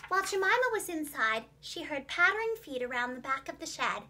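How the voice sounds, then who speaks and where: A woman narrating a children's storybook in an expressive, clearly enunciated storyteller's manner for young listeners. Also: high-pitched, fairly quick. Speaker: preschool teacher and children's storyteller.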